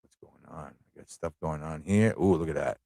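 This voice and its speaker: A man's voice: a bass voice, moderate pace, gravelly, dark tone, no room sound, clean studio sound. A man hosting a casual live stream, thinking aloud in an easygoing, informal way as he chats, reads aloud and reacts.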